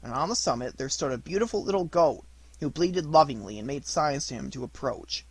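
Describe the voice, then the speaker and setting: A man reading a children's storybook as an audiobook narrator, in a dramatic, deliberate manner. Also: a little high, animated, vocal fry, husky, dry recording.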